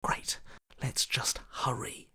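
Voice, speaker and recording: A man's voice: slow, sharp tone, whispered. A man voicing a wry, uneasy heroic companion, an inner voice in a video game. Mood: uneasy, hushed.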